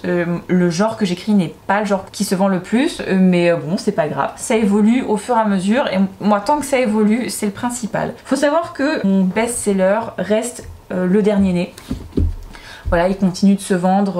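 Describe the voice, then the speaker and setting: A woman's voice: medium pitch, darkish tone. A woman who is a self-published author, chatting to camera in an upbeat way and thinking aloud as she goes. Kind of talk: casual chat to camera.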